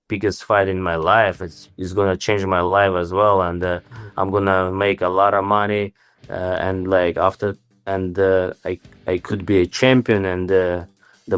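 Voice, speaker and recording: Brief: young adult male, Armenian first language, low and smooth voice, halting English with many fillers, faint music bed. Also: dry recording. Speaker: interviewed fighter. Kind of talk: casual interview answers.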